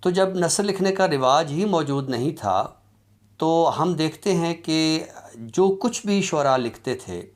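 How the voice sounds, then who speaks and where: A man with an Urdu accent giving a formal academic lecture on literature, speaking in a measured, deliberate way with pauses and drawn-out fillers. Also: medium pitch.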